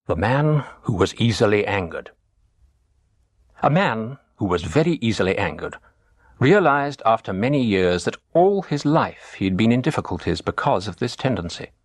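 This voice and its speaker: A man reading a teaching story as a scripted narration, measured and expressive. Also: fairly low pitch, no room sound, clean studio sound.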